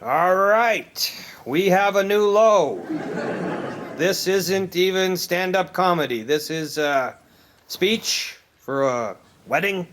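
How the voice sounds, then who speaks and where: A man playing an unimpressed, deadpan comedy class teacher in a sitcom. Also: high voice, slow pace, slight background noise, full character voice. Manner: deadpan, blunt. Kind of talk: sitcom acting.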